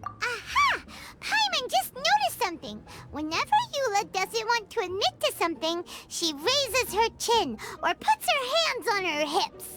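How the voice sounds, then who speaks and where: Squeaky, chirpy little fairy girl. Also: big pitch swings, measured pace, bright, forceful tone, full character voice. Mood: smug, excited. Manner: speaks of herself in the third person, exclamatory. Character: tiny childlike floating girl companion, chirpy and self-important. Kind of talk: anime video game voice acting.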